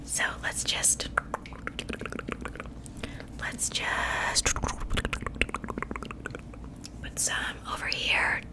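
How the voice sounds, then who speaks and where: A sweetly menacing witch, played by a woman in an ASMR roleplay. She speaks entirely in a whisper, unhurried and soothing. Her reassurance is false, the coaxing of a captor who plans to cook her guest. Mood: soothing, gleeful.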